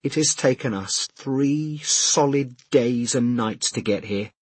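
A man's voice: medium pitch, slow pace, breathy edge, no room sound. A man voicing a harried, exasperated man in an audiobook, speaking urgently and insistently. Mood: exasperated ranting. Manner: emphatic, insistent. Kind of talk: audiobook character dialogue.

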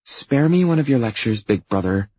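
A man's voice: mid-range voice, expressive, a little fast, husky, no room sound, dull audio, pitched above the performer's own voice. A man voicing an exuberant, bossy young woman, a demanding little sister in an audiobook story. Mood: insistent. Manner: demanding, emphatic, dismissive.

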